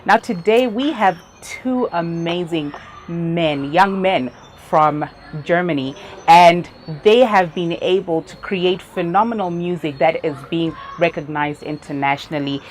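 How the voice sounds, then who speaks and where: A woman from Zimbabwe hosting a youth talk show, interviewing in a lively, encouraging way and laughing easily. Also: on the low side, unhurried. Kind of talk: casual interview. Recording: faint background noise.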